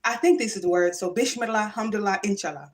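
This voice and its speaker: A woman, talking casually on her own livestream. She speaks in an animated, emphatic way and moves between thoughtful musing and preachy encouragement. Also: medium pitch, quick pace. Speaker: livestream vlogger. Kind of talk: casual spiritual livestream monologue. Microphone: phone or webcam livestream.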